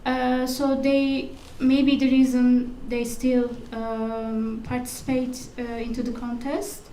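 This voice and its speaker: Halting, high-voiced Turkish woman in echoey room. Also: level tone, warm tone. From Turkey. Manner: hesitant, halting, searching for words. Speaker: conference panelist, researcher. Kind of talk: panel question-and-answer. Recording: echoey room, distant mic, faint noise.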